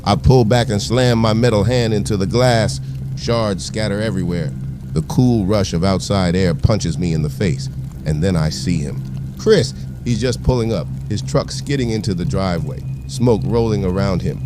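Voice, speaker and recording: Audio drama, male actor: wounded trapped man, low voice, strained and urgent, noisy ambience behind. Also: normal speed, lightly acted. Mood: pained, desperate. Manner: strained, urgent, shouting for help. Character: Jason, wounded, desperate man trapped in burning house. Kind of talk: first-person audio drama narration with dialogue.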